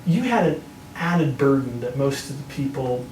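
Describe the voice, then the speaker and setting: A man's voice: medium pitch, expressive, normal speed, a little mellow. A man hosting an interview, asking brief, direct questions. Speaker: interviewer, host. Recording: roomy echo, mic at a distance, faint noise.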